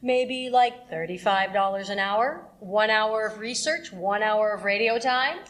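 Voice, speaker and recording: A woman playing a brisk, persuasive radio station manager in an audio drama, casual and confident. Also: mid-range voice, fairly bright tone, close to an everyday voice.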